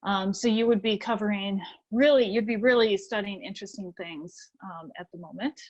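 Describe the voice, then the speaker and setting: A woman's voice: mid-range voice, normal speed, darkish tone. Woman presenting, dull lo-fi sound. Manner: friendly, filler "um". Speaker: program presenter. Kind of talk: info session presentation.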